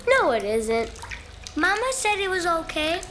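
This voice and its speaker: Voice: very high voice, big pitch swings, heavily acted. A performer playing an eerie, stubborn little boy in an audio horror drama, childlike and contrary. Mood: stubborn, curious. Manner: childlike, contrary, slow and deliberate. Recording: music and splashing water behind.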